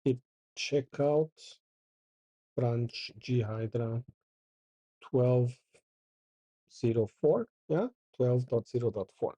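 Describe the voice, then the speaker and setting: Middle-aged man host, husky and unhurried, talking himself through commands. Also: mid-range voice, dark tone, dull audio. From Porto, Portugal. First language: Portuguese. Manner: thinks aloud while reading out commands. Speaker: channel host. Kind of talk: casual live coding commentary.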